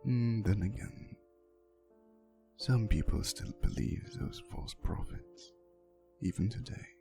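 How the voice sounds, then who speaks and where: Guy doing an ancient vampire boyfriend for asmr, gravelly creaky low voice, soothing n teasing, lots of dramatic pauses. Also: mellow, soft background music. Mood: storytelling, amused. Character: ancient vampire boyfriend, tender, wistful, teasingly fond of humans. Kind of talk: ASMR roleplay, intimate storytelling to the listener.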